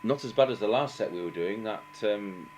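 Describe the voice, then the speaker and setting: A middle-aged Englishman with a fairly low voice talks through a technical job at a workbench. He is halting and unscripted, thinking aloud as he goes. There is some noise and hum behind him. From England. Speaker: radio engineer demonstrating repairs. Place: indoor workbench, voice off camera. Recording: noticeable background noise with hum.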